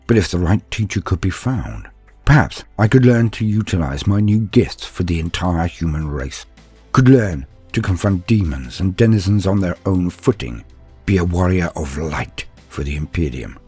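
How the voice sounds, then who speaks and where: A man voicing an earnest young armored psyker apprentice, recounting his tale in solemn, deliberate, formal words as dramatic story narration. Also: low voice, animated, mellow, breathy edge, soft background music.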